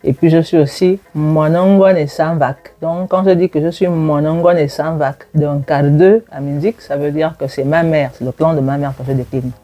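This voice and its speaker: A woman in her forties from Gabon, a university lecturer speaking in an interview and recalling her childhood in a reflective way, pausing with fillers and laughing now and then. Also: low voice, fairly even intonation, dark tone. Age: 40s. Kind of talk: interview, personal testimony.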